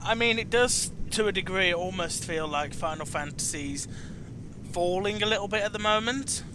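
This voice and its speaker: A man hosting a casual chat about video games, repeating words haltingly as he searches for his phrasing. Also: sits high, background noise. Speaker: chat show host.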